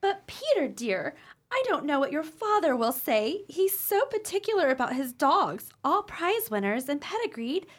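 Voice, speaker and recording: Woman as a high, fluttery, nervous lady. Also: big pitch swings, normal speed. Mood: fretful. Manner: fretful, refined. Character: nervous, genteel wealthy mother, lady of the house. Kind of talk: radio play acting.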